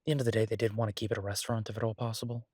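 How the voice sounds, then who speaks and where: A man playing an easygoing, earnest chef boyfriend in an intimate roleplay audio drama, talking casually and haltingly with a husky, reassuring voice. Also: on the low side, flat delivery, a little mellow, no room sound, clean studio sound. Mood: earnest, persuasive.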